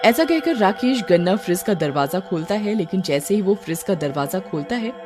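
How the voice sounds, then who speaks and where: A woman's voice: mid-range voice, lively intonation, fairly quick. A woman narrating a scripted horror cartoon story. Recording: music behind the voice.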